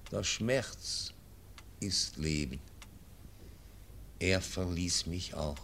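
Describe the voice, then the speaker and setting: An actor playing a frail, dying old nobleman, speaking verse slowly and fading into whispers. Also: low voice, a bit bright, a little hiss or hum, exaggerated voice. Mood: solemn. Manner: slow, fading, drops into whispers. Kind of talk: classic radio drama verse.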